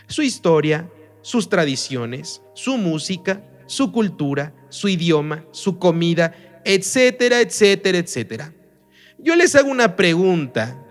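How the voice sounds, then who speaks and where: High, lively-voiced man teaching. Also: unhurried, soft background music. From Mexico. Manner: emphatic, asks rhetorical questions. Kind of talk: recorded online lesson.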